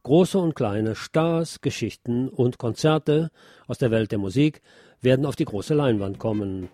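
A male news presenter with a medium-pitched, somewhat dark and mellow voice. He reads scripted reports with a wry, colloquial touch. The sound is dry, with little room around it. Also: unhurried, dry recording.

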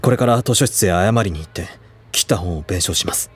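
A man playing a polite, serious, reserved high-school boy in an audio drama, speaking formally and with restraint. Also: fairly quick, breathy edge. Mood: restrained, apologetic. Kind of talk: anime-style audio drama acting.

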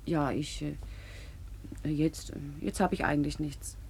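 A woman's voice: low-pitched, fairly even intonation, takes it slowly, gravelly, a little mellow, breathy edge, exaggerated voice. A young woman playing a naive, plucky poor girl in a radio play, speaking colloquially and earnestly. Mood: hesitant, earnest. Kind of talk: naturalistic radio drama dialogue.

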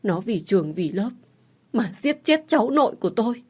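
A woman voicing a bitter older mother-in-law who berates her daughter-in-law in a high, loud voice. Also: big pitch swings, moderate pace, slightly rough. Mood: berating. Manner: shrill, berating.

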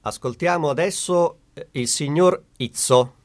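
Radio courtroom role: man playing male magistrate, fairly high voice, formal, measured authority. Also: lightly acted. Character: formal magistrate presiding at a trial. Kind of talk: radio theatre courtroom speech.

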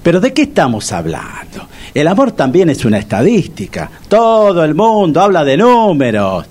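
An actor playing a vain, broke divorced man in a comic radio drama, whining and melodramatic, with a Rioplatense accent and a husky voice. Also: fairly high pitch, huge pitch swings, normal speed, a little mellow, exaggerated voice. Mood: complaining. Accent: Rioplatense Spanish. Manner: whiny, melodramatic complaining. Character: Tito, broke divorced Buenos Aires man, vain and touchy.

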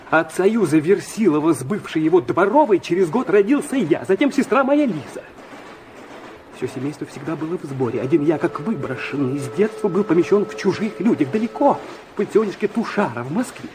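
An actor playing a brooding, earnest young man recounting his wounded past in a theatrical radio drama, in halting phrases that drop to a hush. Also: on the high side, a little hiss or hum. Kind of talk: literary radio drama narration.

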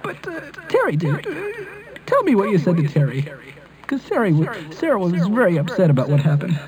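A man's voice: high voice, huge pitch swings, fairly quick, a crowd in the background. A man improvising a prank phone call, hesitant and repetitive, pleading with the person on the line. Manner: hesitant, repetitive, pleading, addressing listener by name. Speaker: prank caller. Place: on a telephone call. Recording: prank call recorded over telephone.

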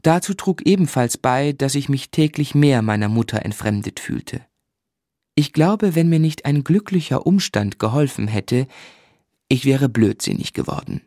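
Grave, reflective literary narrator, dry sound. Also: male voice, mid-range voice, animated, darkish tone, no echo. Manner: measured, grave. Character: reflective grown man recalling his unhappy childhood. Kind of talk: scripted literary narration in German radio play.

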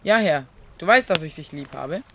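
Earnest fisherman disciple, highish voice. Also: expressive, normal speed, vocal fry, slight background noise. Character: Simon Peter, earnest devoted male fisherman disciple. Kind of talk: audio drama Bible acting.

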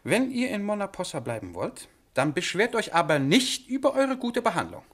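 A pompous sovereign of a tiny state addresses his ministers in satirical radio drama. His voice sits fairly high for a man and swings widely in pitch, with formal, peremptory delivery. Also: sing-song, moderate pace, fairly bright tone, exaggerated voice. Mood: grudging.

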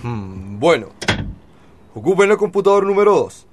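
A man's voice: a little high, very animated, normal speed, background noise. A man playing a helpful computer-centre attendant with a Chilean accent in a radio drama.

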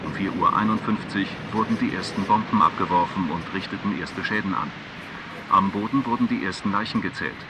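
Far from the mic under a clear engine rumble, a low man's voice an actor acting it out reads news in a radio play. The newsreader is sober, formal and factual. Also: a little fast, close to an everyday voice. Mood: matter-of-fact. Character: sober male military spokesman or newsreader reporting an air raid. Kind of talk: news bulletin in a radio drama. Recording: distant voice under loud vehicle rumble.

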